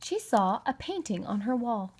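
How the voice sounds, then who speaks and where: A woman's voice: a little high, sing-song, moderate pace, a little mellow, slight background noise. A woman narrating a children's story from a script, as an audiobook reader.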